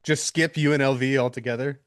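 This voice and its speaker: A man's voice: mid-range voice, fairly even intonation, a little fast, smooth. A man co-hosting a casual sports podcast in an easygoing, chatty way. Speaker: podcast co-host.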